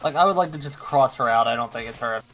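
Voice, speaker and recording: A man's voice: medium pitch, fast, fairly bright tone. A man chatting casually as co-commentator on a game stream, rambling and a little hesitant. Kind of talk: casual group chat. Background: game music.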